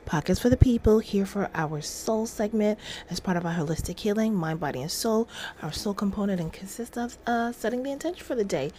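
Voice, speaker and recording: A woman's voice: mid-range voice, lively intonation, fairly quick, warm tone, a little hiss or hum. A middle-aged woman hosting a casual devotional livestream, reading aloud and adding informal, chatty asides. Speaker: livestream host. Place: small indoor room at home.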